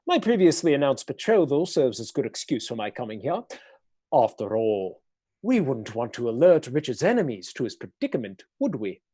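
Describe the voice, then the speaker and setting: An actor playing a cold, scheming noble earl in a radio play, speaking with smooth, condescending menace. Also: male voice, medium pitch, sing-song, moderate pace, warm tone. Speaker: villainous earl.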